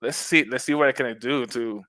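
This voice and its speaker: A man's voice: mid-range voice, moderate pace, sharp tone, no echo. A middle-aged man from Puerto Rico with a Puerto Rican accent, a guest on a casual podcast who talks in a friendly way, laughing often and restarting his words. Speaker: guest, mushroom business owner.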